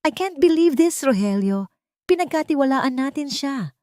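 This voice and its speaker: A woman's voice: sits high, expressive, normal speed, mellow, dry recording, studio quality, pitched above the performer's own voice. Woman acting a scheming, two-faced rich villainess. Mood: feigned shock. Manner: feigned hurt, condescending, Taglish.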